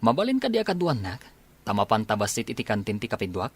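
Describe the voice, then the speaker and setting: An actor playing a young soldier in a radio drama, talking in a courteous, familiar way. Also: male voice, fairly low pitch, wildly swooping pitch, fast, breathy edge, heavily acted. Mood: casual. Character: courteous young male soldier about to deploy.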